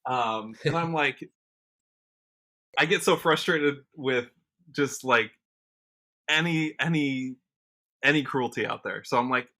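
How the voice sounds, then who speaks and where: A man with an American accent and a bright, forward voice. He talks casually and with earnest enthusiasm, like a guest in a relaxed podcast conversation. His pitch sits in a middle range. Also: measured pace. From United States, Washington state. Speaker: podcast guest, country musician.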